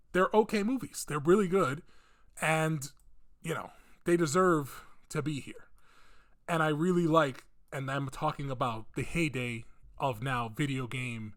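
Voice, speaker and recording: A man's voice: fairly high pitch, fairly bright tone. A man hosting a casual opinion video, rambling conversationally and thinking aloud with emphatic points. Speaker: video host giving opinions. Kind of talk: casual rant commentary on video game films.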